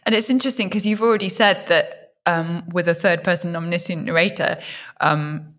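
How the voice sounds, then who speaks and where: Slightly low-voiced woman, reflective podcast host. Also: steady tone, normal speed, fairly bright tone. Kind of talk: conversational literary podcast interview.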